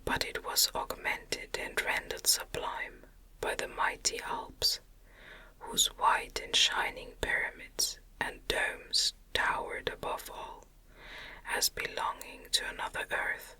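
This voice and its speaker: Female ASMR narrator for gothic literature: whispered throughout, very sharp and sibilant, unhurried, gentle delivery. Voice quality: breathy unvoiced whisper. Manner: gentle, measured. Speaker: audiobook reader.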